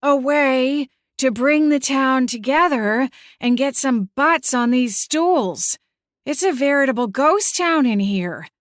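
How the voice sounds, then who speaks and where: Woman acting pushy older aunt, pitched high. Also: lively intonation, takes it slowly, dry recording, studio quality. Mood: pitching. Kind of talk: audiobook character acting.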